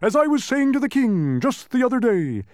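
A man voicing a blustering, boastful old humbug beetle in a storybook, with a booming voice and pompous manner. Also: big pitch swings, moderate pace, darkish tone, no room sound, clean studio sound. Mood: dismissive. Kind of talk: animated storybook character reading.

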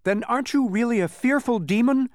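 A man voicing an earnest young boy hero in a storybook, quick and pleading in manner. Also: high voice, huge pitch swings, no room sound, clean studio sound, pitched above the performer's own voice. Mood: desperate.

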